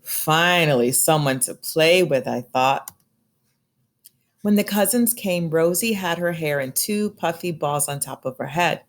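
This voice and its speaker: Low-voiced woman reading a children's storybook. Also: animated, a little slow. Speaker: storybook reader. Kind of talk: expressive children's picture book read-aloud.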